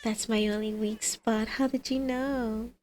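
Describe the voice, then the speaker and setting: A woman's voice: fairly high pitch, fairly even intonation, a little slow, darkish tone, slight background noise, lightly acted. A woman playing a flirty female villain and doting mother in an ASMR roleplay, with a teasing, coaxing, sardonic manner. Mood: tender, playful.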